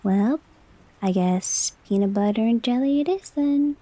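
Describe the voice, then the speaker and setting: A woman's voice: fairly high pitch, unhurried, dark tone. A woman playing an eager, helpful young daughter in an audio drama.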